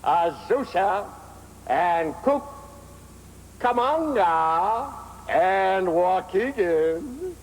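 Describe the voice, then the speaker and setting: An actor playing a train station announcer who drawls out the stops in a long sing-song call, from an old radio broadcast. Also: male voice, high voice, expressive, long reverb tail, faint music behind, full character voice, low-quality recording. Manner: drawn-out sing-song calling.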